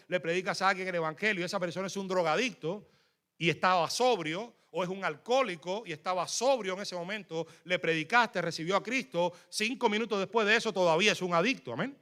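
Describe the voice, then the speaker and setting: A man with a Cuban accent preaching a sermon in a fervent, emphatic way, often pausing on fillers. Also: sits high, sharp tone. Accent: Cuban Spanish. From Cuba. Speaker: guest preacher.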